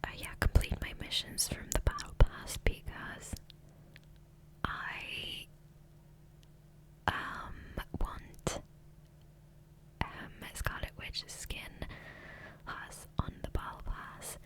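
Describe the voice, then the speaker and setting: A woman whispering softly and breathily into a close microphone in a rambling, hesitant ASMR gameplay commentary, often trailing off. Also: takes it slowly, long pauses, low-quality recording. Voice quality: soft, breathy unvoiced whisper. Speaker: ASMR gaming creator. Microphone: close USB condenser microphone.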